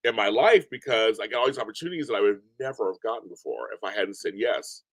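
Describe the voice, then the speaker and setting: A deep-voiced man chatting as a podcast guest, animated and rambling, often repeating himself. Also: fast talker, dry recording. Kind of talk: casual interview chat. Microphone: remote call from home.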